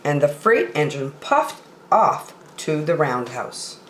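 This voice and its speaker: A woman's voice: low-pitched, very animated, slow, a little hiss or hum. A woman reading aloud expressively to young children as a storyteller, with emphatic, encouraging phrasing, recorded in an echoey room.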